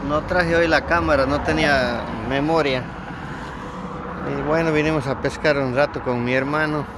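A man's voice: fairly high pitch, steady tone, normal speed. A man casually narrating his fishing outing as he films on a phone outdoors. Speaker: amateur fishing vlogger. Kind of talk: casual narration while filming. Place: outdoors on a concrete bridge over water. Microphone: handheld phone. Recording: loud outdoor wind and traffic noise.

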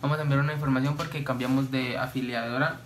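A young man, calmly explaining a story to camera in a small home room, with many fillers. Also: medium pitch, fast, noisy background. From Colombia. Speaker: vlogger.

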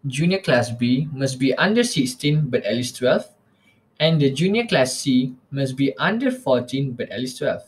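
A young man from Malaysia, a student presenting a class lesson over slides on a laptop webcam, reading lists steadily. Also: mid-range voice. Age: young adult. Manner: reads lists steadily, rushes through names.

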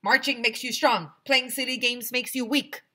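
Lady doing a mean drill sergeant doctor guy from a kids book, barks orders, sharp and scornful. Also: a little high, fairly even intonation, normal speed, voice pushed up. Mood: barking. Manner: barking commands, scornful. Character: stern, bullying male drill-sergeant school doctor.

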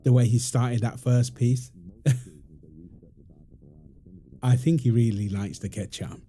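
A man's voice: mid-range voice, fairly even intonation, slow pace, mellow, a little hiss or hum, natural voice. A man playing a playful, doting young father in an ASMR roleplay, teasing and affectionate with his family. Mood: amused, delighted. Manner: teasing, affectionate, laughing easily. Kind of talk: ASMR roleplay, improvised casual talk.

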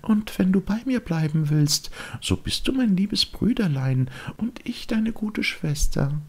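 A man voicing a gentle, teasing young fairy woman in a storybook reading, soft, patient and sisterly, with a light breathy voice. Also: high voice, animated, measured pace, pitched above the performer's own voice, heavily acted. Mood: tender. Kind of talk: audiobook storytelling.

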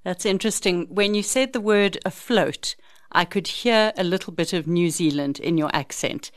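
A woman's voice: lowish voice, normal speed, no echo, clean studio sound. A woman, interviewing a guest conversationally as a podcast host.

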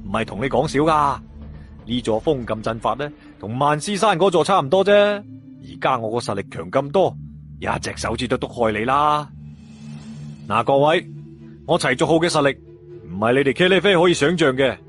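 A man's voice: medium pitch, expressive, moderate pace, fairly bright tone, faint music behind, close to an everyday voice. A man voicing a cocky, nonchalant young martial arts hero in an audiobook, flippant and bragging.

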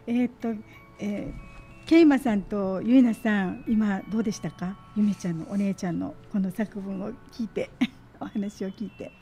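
A woman's voice: mid-range voice, normal speed, warm tone, soft background music. A woman hosting a casual radio interview with children in a small studio, echoing and affirming what they say in an encouraging way. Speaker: radio host. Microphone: studio mic on boom arm.

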